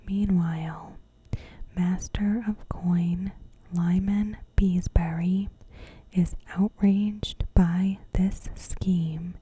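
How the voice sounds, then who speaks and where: A woman softly whispering a scripted recap as an ASMR host, in short, deliberate phrases with pauses. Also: lowish voice, rough recording. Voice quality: soft, breathy whisper. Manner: slow, deliberate, pausing between short phrases.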